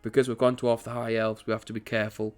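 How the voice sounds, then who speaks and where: A man with a croaky early-morning voice talks casually over a strategy game. He rambles and corrects himself as he goes, in a middling pitch at a desk mic in his home setup. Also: little pitch movement, fast talker, darkish tone, soft background music. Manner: chatty, rambling, self-correcting. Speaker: gaming channel host.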